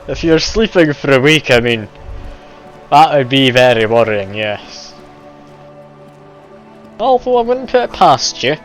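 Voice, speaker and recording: A man's voice: medium pitch, sing-song, slow pace, long pauses. A man streaming a game live, chatty and self-deprecating, laughing often as he plays. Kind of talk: casual live game commentary. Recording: dull lo-fi stream audio, game music behind.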